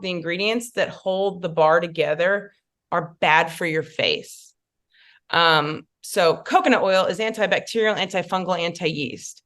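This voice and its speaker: A woman's voice: on the low side, fairly bright tone. A woman makeup artist, chatting casually as a podcast guest with many fillers and asides.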